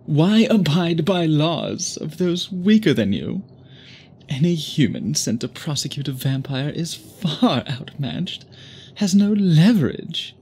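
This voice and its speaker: A man playing an obsessed, guilt-ridden immortal vampire suitor in an intimate audio roleplay, speaking formally in halting, brooding phrases. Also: fairly high pitch, big pitch swings, darkish tone, slight background noise, exaggerated voice. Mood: bitter, intense. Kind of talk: intimate ASMR roleplay, dramatic monologue.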